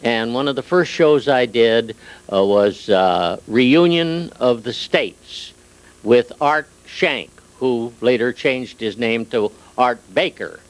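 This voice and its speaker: An elderly man with a middle-pitched voice recalls his working life in radio. He speaks haltingly and without hurry, as in an interview. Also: lively intonation. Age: older. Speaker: retired radio sound effects artist. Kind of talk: reminiscing in an interview.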